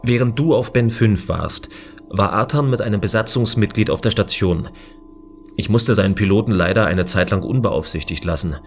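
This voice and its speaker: A man plays a male guardian on a space station, gentle and dutiful. His low voice explains things earnestly and considerately, with music underneath in a sci-fi radio play. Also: normal speed, close to an everyday voice. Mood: apologetic, earnest. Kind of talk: science fiction audio drama acting.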